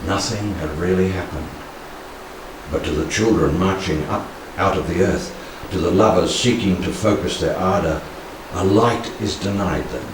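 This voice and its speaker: A man in his sixties reciting poetry solemnly, with measured, dramatic phrasing and pauses, into a handheld microphone in a room. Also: sits low. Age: 60s. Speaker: poetry reader. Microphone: handheld stage microphone. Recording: very echoey room, distant, faint noise.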